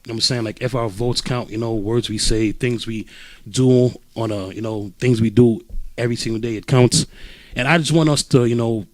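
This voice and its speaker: A man's voice: medium pitch, brisk, no room sound. A man in his twenties from Brooklyn, hosting a podcast panel in a loose, joking, casual way. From Brooklyn, New York. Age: 20s. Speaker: podcast host.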